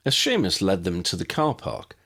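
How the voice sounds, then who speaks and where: A man's voice: low voice, wildly swooping pitch, normal speed, a bit bright. A man reading scripted story narration as an audiobook narrator.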